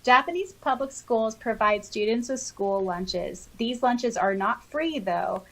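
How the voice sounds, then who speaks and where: A woman's voice: on the high side, animated, unhurried. A woman co-hosting a podcast in casual chat, with an informal, giggly, self-deprecating way of talking. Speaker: podcast co-host.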